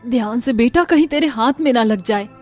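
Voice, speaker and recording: A woman's voice: on the high side, a little fast, a little mellow, soft background music, lightly acted. A woman voicing a frightened, protective village mother, pleading urgently in a cartoon story. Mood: anxious.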